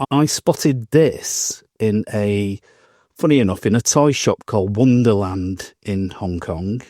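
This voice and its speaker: A man's voice: on the low side, a little mellow, no echo, studio quality. A man co-hosting a modelling podcast, telling shopping stories in a chatty, rambling way. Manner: chatty storytelling with laughs and restarts. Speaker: podcast co-host. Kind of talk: casual chat.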